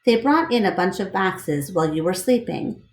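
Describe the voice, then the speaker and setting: A woman's voice: fairly low pitch, expressive, normal speed, echoey room. A woman voicing a small, casual, wry male dog who is a loyal friend in a storybook.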